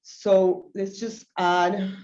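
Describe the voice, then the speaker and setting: Woman, 30s, from Venezuela; relaxed, unhurried tutorial delivery with fillers, webcam mic in a roomy office. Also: mid-range voice. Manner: easygoing, many ums and self-corrections. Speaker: web designer giving a live tutorial. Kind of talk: live screen-share tutorial. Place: small office room, indoors. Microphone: laptop webcam mic on a video call. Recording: roomy, dull lo-fi call audio.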